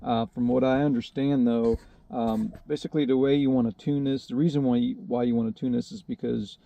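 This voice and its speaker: A man's voice: medium pitch, fairly even intonation, a little fast, gravelly, mellow, dull audio. A man giving a casual, hands-on how-to explanation in a hesitant, unscripted and modest way, as the host of a DIY video. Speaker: DIY video host demonstrating a radio installation.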